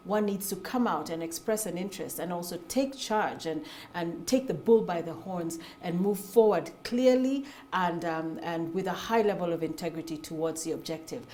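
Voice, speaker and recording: A Kenyan woman in her forties speaks in a fairly low, articulate and measured voice. She is a guest in an interview, answering at length, and faint noise runs under the recording. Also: darkish tone. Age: 40s. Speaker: Senate aspirant, guest.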